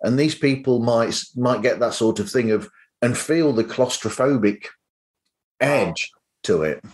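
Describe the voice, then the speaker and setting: Halting male podcast host, mid-pitched. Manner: halting, rephrasing as they go. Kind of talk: casual interview chat.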